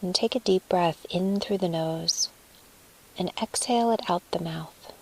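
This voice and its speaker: A woman leading a guided meditation in a soft, breathy, soothing voice, phrasing deliberately with pauses. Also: fairly low pitch, low-quality recording.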